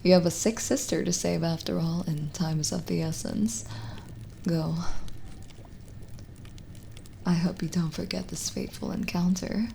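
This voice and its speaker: A woman playing a kindly, lonely young witch healer in a soft, intimate ASMR roleplay, explaining gently and a little hesitantly. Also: on the low side, fairly even intonation, normal speed, gravelly, slight background noise. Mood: wistful, hopeful.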